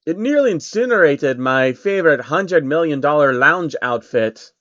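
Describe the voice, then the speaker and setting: A man's voice: a little high, animated, normal speed, a bit bright, no room sound, dull audio. A man voicing a deferential, anxious billionaire underling in a storybook reading, polite and servile. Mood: rattled, deferential.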